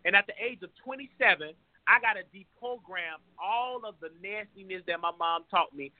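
A man's voice: sits high, moderate pace, no room sound. A man in his twenties calling in to a talk show, speaking with passion in casual vernacular. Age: 20s. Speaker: caller. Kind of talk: emotional call-in testimony. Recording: tinny phone line.

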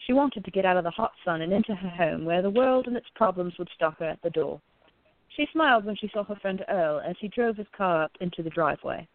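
Female narrator for an audio play, scripted storytelling, mid pitch, close and dry through a phone-like band. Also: fairly quick, no echo. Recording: narrow phone-like sound.

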